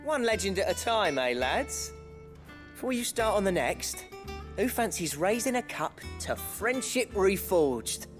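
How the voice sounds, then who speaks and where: An actor playing a convivial, gently teasing male companion among craftsmen. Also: high voice, very animated, measured pace. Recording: music behind the voice.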